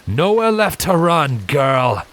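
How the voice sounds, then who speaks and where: A man voicing a cold, threatening male pony assassin leader in a story reading, speaking slowly with a low warning tone. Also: sing-song, a bit bright, a little hiss or hum, heavily acted. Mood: taunting menace. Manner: slow, low, warning delivery.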